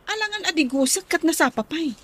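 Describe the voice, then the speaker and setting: High, harried working mother. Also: animated, fairly quick, fairly bright tone, slight background noise. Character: harried, bossy young working wife and mother. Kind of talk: radio drama acting.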